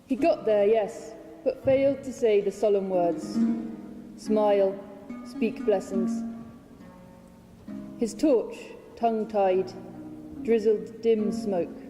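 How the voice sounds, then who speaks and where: Slow woman reading poetry in a reverberant hall. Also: medium pitch. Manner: measured, deliberate, with weighted pauses. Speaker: reader of own poetry translation. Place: large hall at a public reading. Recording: reverberant hall with plucked guitar music. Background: plucked string music.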